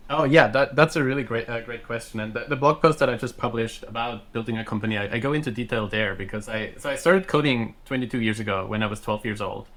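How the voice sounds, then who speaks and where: A man's voice: mid-range voice, fast, hardly any pauses, fairly bright tone. A man in his thirties from Austria, a native German speaker, chatting as a podcast guest in a rambling way with lots of fillers and restarts. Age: 30s. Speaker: podcast guest, software engineer. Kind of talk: casual chat.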